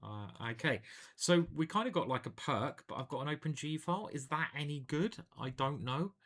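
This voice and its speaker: A man's voice: fairly high pitch, lively intonation, moderate pace, fairly bright tone. A man, a chess grandmaster, casually commentating his own online games in a playful, chatty way. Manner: playful and chatty, hums and sings little jingles.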